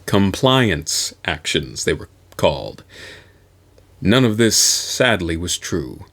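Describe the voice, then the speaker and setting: A man reading a science fiction novel aloud as host, with a theatrical, deliberate delivery. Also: deep voice, big pitch swings, fairly bright tone.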